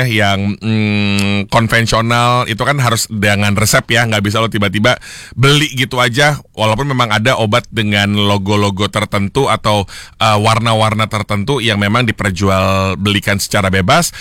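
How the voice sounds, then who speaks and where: A young man hosting a solo radio show, chatting casually with listeners and slipping English phrases into his talk, with a slightly hoarse throat. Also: on the low side, sharp tone, dry recording, studio quality. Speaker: radio host. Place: radio studio. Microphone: studio microphone.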